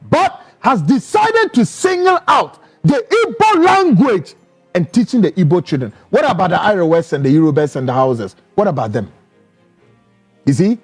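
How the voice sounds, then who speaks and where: A man with a Ghanaian accent delivers emphatic, indignant commentary in a high voice that swings widely in pitch. He fires off rhetorical questions like a TV pundit, with faint music underneath. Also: slightly rough. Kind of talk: opinionated commentary to camera.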